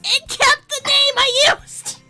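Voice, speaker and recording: A young woman running a let's-play for her friends, laughing a lot with bursts of loudness. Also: extremely high pitch, level tone, takes it slowly, soft background music. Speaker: co-host of a gaming channel, runs the game. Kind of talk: casual let's-play chat.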